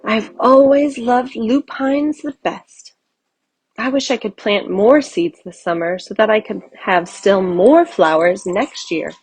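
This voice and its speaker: A woman voicing a genteel, cheerful aging lady in a children's storybook, in an expressive way full of wonder. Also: mid-range voice, slow, breathy edge, poor audio. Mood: contented, wistful. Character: genteel, cheerful aging woman who loves travel and flowers.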